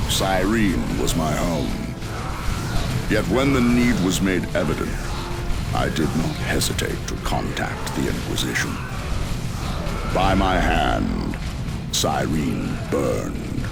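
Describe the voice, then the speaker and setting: A man's voice: very deep voice, vocal fry, full character voice. A man playing a solemn, resolute space marine captain in a video game, speaking in grave, formal declarations. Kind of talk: dramatic video game cutscene acting. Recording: loud music under the voice.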